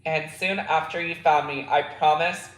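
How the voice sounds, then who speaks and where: A man reading the lines of a gentle, weakened woman recovering in hospital, in his own voice. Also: fairly high pitch, fairly even intonation, a little slow, echoey room, poor audio. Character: weak sick aunt, woman, gentle.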